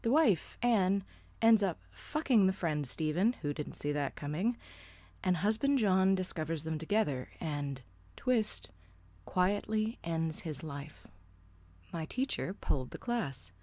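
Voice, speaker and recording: A woman's voice: fairly low pitch, lively intonation, measured pace, mellow, dry recording. Blunt sardonic woman telling a story. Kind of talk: first-person storytime narration.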